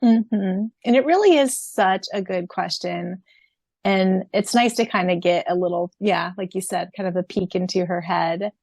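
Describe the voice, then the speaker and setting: A giggly, effusive woman co-hosting a fan podcast, her voice sitting at an ordinary middle pitch. She talks in a loose, chatty way and breaks into laughter often. The sound is dry and close, with no room around it. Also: normal speed, a little mellow, dry recording. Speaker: podcast co-host. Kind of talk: casual fan podcast chat.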